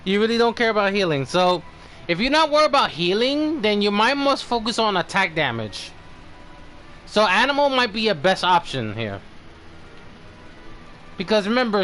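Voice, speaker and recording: A man hosting a game livestream in casual chat, thinking aloud in a halting way. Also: sits high, very animated, bright, forceful tone, slight background noise.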